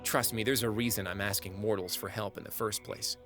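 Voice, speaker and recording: A man's voice: on the low side, steady tone, fairly quick, music in the background, natural voice. A man acting in an audio drama as a flippant, sardonic minor god who banters casually and wheedles. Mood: grudging, wary. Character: flippant, fast-talking minor trickster god, lately a frog.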